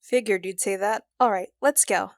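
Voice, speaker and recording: A woman's voice: medium pitch, normal speed, no room sound, studio quality, lightly acted. A woman playing a young, curious, casual slicer sidekick in a sci-fi audio drama.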